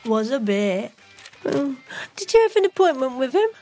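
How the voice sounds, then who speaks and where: An actress playing a blunt, offhand office receptionist with casual working-class speech in an audio drama. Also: female voice, high voice, big pitch swings, moderate pace, dark tone, soft background music. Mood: bored, offhand. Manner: offhand, blunt, drawling, ungrammatical.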